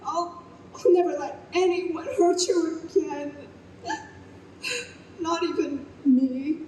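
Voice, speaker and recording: A woman's voice: high voice, takes it slowly, mellow. An actress playing an emotional, doting but frazzled young single mother in a live radio play. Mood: tender. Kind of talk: live old-time radio play acting. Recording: echoey live stage with background noise.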